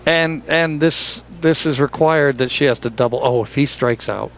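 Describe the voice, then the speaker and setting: A man's voice: mid-range voice, moderate pace, a bit bright, slight background noise. A man doing live bowling commentary in a joking, chatty way, teasing his partner and laughing often. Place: bowling center.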